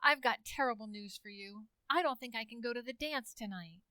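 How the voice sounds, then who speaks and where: Fretful teen sweetheart, a woman acting, highish bright voice, dramatic exclamations, old-time radio comedy. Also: fairly quick, smooth, no room sound. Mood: apologetic, fretful. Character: fretful, apologetic teenage girl.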